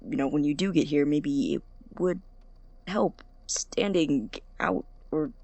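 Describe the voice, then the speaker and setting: An actor playing an awkward, anxious young male college student in an audio drama, halting and rambling, with a creaky voice. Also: mid-range voice, a little mellow, no room sound. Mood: nervous, apologetic. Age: young adult. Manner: halting, stammering, rambling, nervous laughs. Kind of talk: audio drama acting as a personal voice recording.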